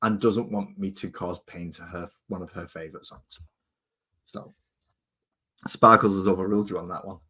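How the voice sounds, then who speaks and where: A man's voice: low-pitched, steady tone, normal speed, pauses often, pushed and bright, breathy edge. A man hosting a livestream, chatting in a jokey, rambling way with his co-host and viewers. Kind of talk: casual chat while painting.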